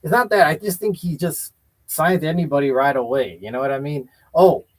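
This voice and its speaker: A man's voice: a little high, normal speed, rough recording. A man hosting a casual wrestling chat show, giving blunt, opinionated takes and often hedging with "I feel like". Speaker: podcast host.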